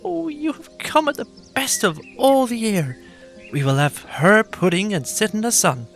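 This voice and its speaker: An earnest little storybook country mouse, played by a man, timid yet cheerful and prone to exclaiming. The pitch is lifted high, with soft music underneath. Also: expressive, normal speed. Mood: delighted welcome.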